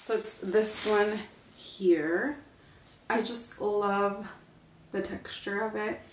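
A young woman filming a casual home decor haul vlog at home, talking to the camera in a relaxed, chatty, enthusiastic way. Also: medium pitch, slow, echoey room, slight background noise. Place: living room at home, indoors.

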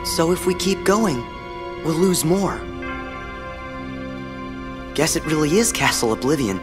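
A man's voice: fairly high pitch, animated, a little slow. A young man voicing an earnest, youthful teenage boy hero in a video game, sincere and a little hesitant. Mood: troubled. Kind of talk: video game cutscene voice acting. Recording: long hall reverb, music behind.

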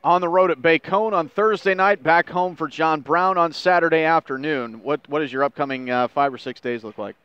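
A man's voice: fairly high pitch, normal speed, few pauses, smooth, no echo, muffled recording. A young American man hosting a postgame sports interview, recapping stats and asking questions into a headset mic. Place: indoor interview table at a sports arena.

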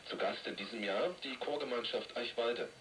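A man's voice: medium pitch, normal speed, fairly bright tone. A man reading scripted announcements as a radio announcer, heard through a shortwave receiver. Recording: distant, faintly noisy shortwave radio reception.

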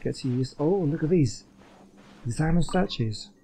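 A man giving casual gameplay commentary, thinking out loud and questioning himself as he goes. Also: a little high, expressive, normal speed, a little hiss or hum. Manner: muses aloud, questioning himself. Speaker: gaming video host. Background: faint game music.

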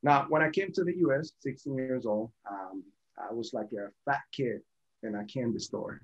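Casting: male podcast guest, forties, mid-pitched voice, slightly muffled video-call sound. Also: normal speed, slight background noise. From born in Venezuela, raised in Colombia. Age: 40s. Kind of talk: conversational personal storytelling. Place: small indoor home office. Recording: dull, lo-fi video call audio.

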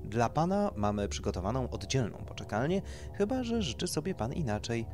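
A man's voice: medium pitch, sing-song, a little fast, music in the background, lightly acted. A man voicing a polite female clinic receptionist with rehearsed courtesy in an audio story. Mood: politely rehearsed. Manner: courteous, unconvinced customer-service politeness.

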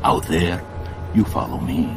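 Burly, threatening military commander, extremely low and growling, resentful, video game, noisy backdrop. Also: male voice, animated, measured pace, gravelly, exaggerated voice. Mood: menacing, scornful. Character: burly, surly, resentful Soviet general. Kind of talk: theatrical video game cutscene acting. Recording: noisy ambient background.

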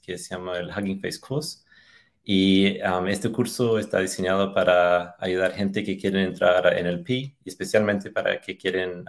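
A man in his thirties from Australia, a native English speaker, giving casual interview answers with an Australian accent. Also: low voice. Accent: Australian-accented Spanish. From Australia, Tasmania. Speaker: podcast guest, machine learning engineer. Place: indoors on a video call. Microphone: video call.

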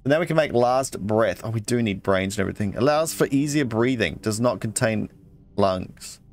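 A man's voice: lowish voice, expressive, normal speed. A man giving casual let's play commentary, muttering his thoughts aloud and reading out item text.

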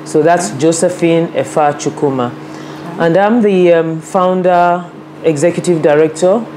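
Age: 50s. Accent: Nigerian. Sex: woman. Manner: earnest storyteller, soft mellow voice, faint room noise. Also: sits low, poor audio. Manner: earnest, with frequent "you know" asides. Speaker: interviewee, women's rights advocate. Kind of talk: reflective personal storytelling. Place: office with a large window, seated at a desk.

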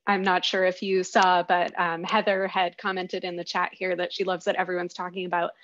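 Even-toned young woman moderating. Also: on the low side, fairly quick. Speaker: webinar moderator. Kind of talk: casual hosting. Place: room at home, video call. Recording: dull video-call audio.

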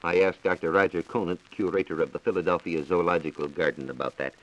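Deep-voiced American man, 1940s radio science reporter. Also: fairly even intonation, fairly bright tone, muffled recording. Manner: measured, explanatory, formal. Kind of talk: 1940s radio science talk, answering questions. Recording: 1940s radio transcription disc.

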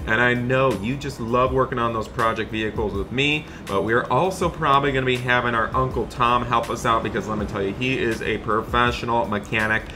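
A man narrating an improvised game roleplay as an excited, friendly dad, chatty and full of exclamations. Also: medium pitch, a little fast, few pauses, over music. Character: excited friendly dad shopping for project cars. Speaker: host of a gaming roleplay channel.

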